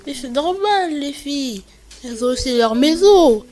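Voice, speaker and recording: A woman voicing a cheeky, self-assured young girl in an animated film. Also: sits high, moderate pace. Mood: matter-of-fact.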